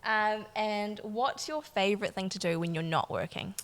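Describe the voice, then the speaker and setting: A woman's voice: mid-range voice, moderate pace, fairly bright tone. A woman hosting a podcast interview, encouraging, asking friendly questions and nodding along with short "yeah"s. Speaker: podcast host. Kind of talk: casual interview.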